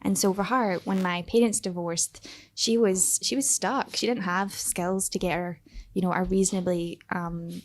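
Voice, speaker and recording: A woman's voice: fairly low pitch, steady tone, normal speed. A young woman with a Scottish accent speaks as a guest on a podcast. She laughs often and talks loosely, full of fillers and restarts. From Scotland. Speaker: startup founder and guest. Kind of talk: casual podcast interview.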